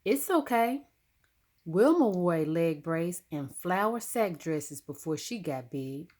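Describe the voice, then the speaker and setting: A woman's voice: on the low side, lively intonation, slow pace, a little mellow. Woman as proud, scrappy young girl, defiant. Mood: defensive. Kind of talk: children's storybook read-aloud.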